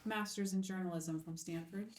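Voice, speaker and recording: A woman's voice: medium pitch, steady tone, normal speed, darkish tone, close to an everyday voice. A woman playing a tough, curt newsroom boss in a film script table read, speaking in a clipped, challenging way. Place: room at a filmed table read. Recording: roomy, distant microphone.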